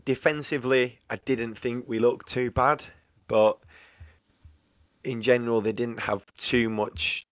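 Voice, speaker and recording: A man's voice: mid-range voice, steady tone, measured pace, no room sound, clean studio sound. A man co-hosting a casual football podcast over a remote call, hesitant and repeating words as he thinks. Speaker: podcast co-host. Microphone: remote call recording.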